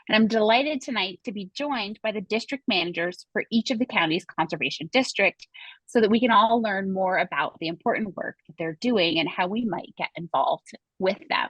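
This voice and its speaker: A woman moderating an online panel, reading a measured, prepared introduction over a video call. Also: mid-range voice, fairly bright tone, slightly rough, no room sound, rough recording. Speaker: moderator and museum director.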